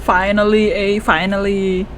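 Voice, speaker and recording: A woman's voice: medium pitch, little pitch movement, loud music behind the voice. A woman, reacting to a game as she plays, musing and chatty. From Indonesia. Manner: slow, musing, chatty, switching languages. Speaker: gaming streamer. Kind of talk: live game commentary.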